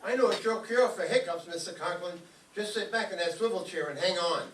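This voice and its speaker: An actor playing an eager, dim but helpful teenage schoolboy in an old-time radio comedy. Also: male voice, high-pitched, a little fast, a bit bright. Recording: echoey, distant stage pickup, clear noise.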